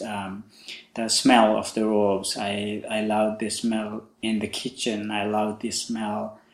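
A young man from Sri Lanka, a Buddhist monk hosting a podcast, talking slowly with many pauses and fillers about his life. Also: on the low side, reverberant. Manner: slow, halting, many fillers. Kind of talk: casual spoken monologue.

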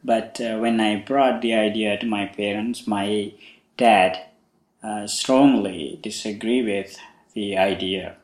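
A young man from Sri Lanka, a Buddhist monk hosting a podcast, talking slowly with many pauses and fillers about his life. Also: lowish voice, steady tone, roomy sound. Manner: slow, halting, many fillers. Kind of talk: casual spoken monologue.

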